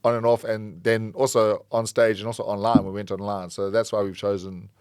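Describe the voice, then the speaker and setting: Co-host, man, lower voice, talks fast, eager and agreeable, dry mic. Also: smooth, dry recording. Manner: energetic, agreeable, many "yeah"s. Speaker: podcast co-host. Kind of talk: casual podcast chat.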